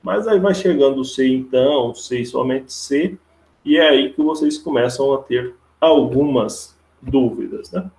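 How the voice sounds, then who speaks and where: A Brazilian man with a creaky voice teaching a live online logic lesson step by step, informally, repeating himself and checking for agreement with tag questions. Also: medium pitch, a little slow, a little mellow. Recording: roomy, echoey online class audio.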